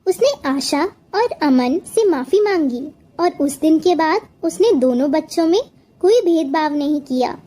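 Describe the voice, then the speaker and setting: A woman's voice: high voice, animated, a little slow, warm tone. A woman narrating a scripted moral story as a storyteller.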